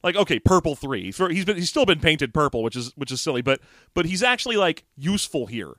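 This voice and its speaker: A male podcast co-host chats about a book in a loose, joking way and keeps slipping into quick impressions between laughs. His voice sits a little higher than most men's. The sound is dry and close, with no room around it. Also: big pitch swings, a bit bright, no room sound. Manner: jokey, laughs often, puts on quick impressions. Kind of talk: casual chat discussing a book.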